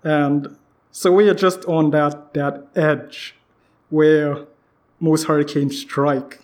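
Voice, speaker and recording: A man's voice: a little high, fairly even intonation, slow pace, darkish tone. A man hosting a casual, conversational interview in an informal way. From Trinidad. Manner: informal, peppered with "you know". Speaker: podcast host, interviewer.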